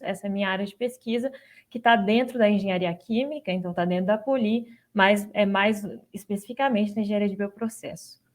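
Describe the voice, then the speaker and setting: A young adult woman with a Brazilian Portuguese accent giving an informal personal account as a guest, repeating words for emphasis. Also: mid-range voice. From São Paulo state, Brazil. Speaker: guest, doctoral student.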